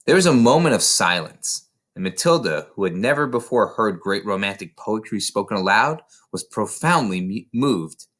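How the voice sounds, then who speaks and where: A man's voice: lowish voice, lively intonation, moderate pace, fairly bright tone. A man reading a children's novel aloud as scripted narration. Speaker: storybook reader.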